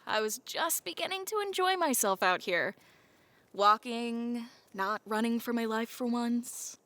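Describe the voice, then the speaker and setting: Woman playing a half-genie girl, young, sarcastic, slow and high, soft music behind. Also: dry recording. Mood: wry. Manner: dry, sarcastic banter. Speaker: voice actor. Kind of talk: audio drama acting. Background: faint background music.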